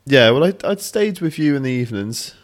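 A man's voice: medium pitch, expressive, a little fast. A young man co-hosting a casual podcast, laid-back and playfully mocking. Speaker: podcast co-host. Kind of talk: casual chat.

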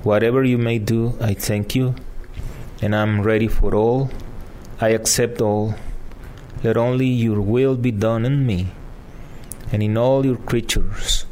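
Halting Salvadoran-accented priest in his fifties. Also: lowish voice, fairly even intonation, darkish tone, a little hiss or hum, poor audio. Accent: Salvadoran Spanish. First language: Spanish. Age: 50s. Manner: halting, pauses and searches for words. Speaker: priest, guest. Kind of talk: conversational interview and spoken prayer.

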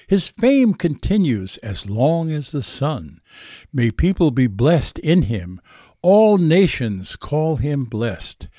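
Slow, expressive male scripture reader. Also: mid-range voice, no room sound, clean studio sound. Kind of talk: solemn recitation of a psalm.

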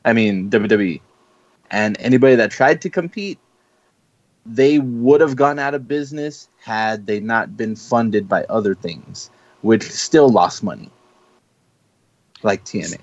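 A man's voice: fairly low pitch, a little slow, pauses often, no echo. A man argues his side bluntly, swearing now and then. His voice sounds dry and close, with no room around it. The track is dull and lo-fi. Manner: blunt, argumentative, swears casually. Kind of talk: casual group chat.

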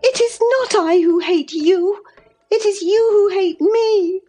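A woman's voice: high-pitched, lively intonation, slow, a little mellow, soft background music, pitched above the performer's own voice. A woman voicing a petulant, pleading young woman from a novel, earnest and insistent. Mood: tearful, hurt.

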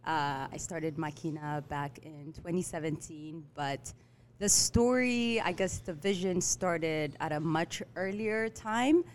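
Woman from Eritrea, middle-aged, low-pitched, hesitant fillers, slight background hiss. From Eritrea, raised in Ethiopia. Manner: relaxed, many fillers, hesitant pauses. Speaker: guest, restaurant owner. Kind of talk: casual interview storytelling. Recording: faint background noise.

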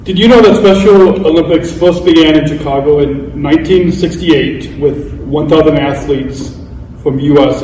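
A man's voice: on the high side, dark tone, slight background noise. A man in his thirties on a panel, reading prepared remarks steadily and stumbling now and then on a word. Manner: reads steadily, trips on some words. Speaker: panelist, athlete speaker. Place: large event hall. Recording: distant mic in a roomy hall.

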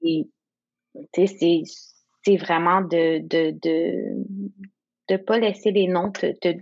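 Slow-speaking woman, native English speaker. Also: lowish voice, fairly even intonation, creaky, darkish tone, dull audio. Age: 30s. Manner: reflective, searching for words. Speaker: guest entrepreneur. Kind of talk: candid conversational interview.

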